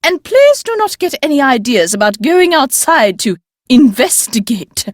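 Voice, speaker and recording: A woman's voice: high voice, big pitch swings, a little slow, no room sound, studio quality. A woman playing an elderly, upper-class English great-aunt in a video game, proper, haughty and emphatic, stern but fond. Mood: indignant, scolding. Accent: English, upper-class British. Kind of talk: video game character dialogue.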